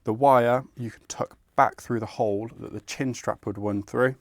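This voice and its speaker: A man's voice: medium pitch, fairly even intonation, moderate pace, no room sound, clean studio sound. A man presenting an installation tutorial, explaining each step in a methodical, informal way. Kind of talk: instructional step-by-step tutorial narration.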